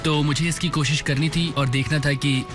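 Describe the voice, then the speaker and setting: A man's voice: a little high, fairly even intonation, normal speed. A man, a military cadet, giving a personal account in an interview style. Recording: loud music behind the voice.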